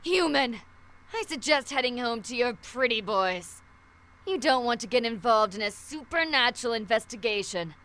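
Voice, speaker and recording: Woman playing a sharp, high-voiced scornful she-devil. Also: lively intonation, normal speed. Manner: biting, contemptuous. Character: scornful, commanding female devil, impatient and sardonic.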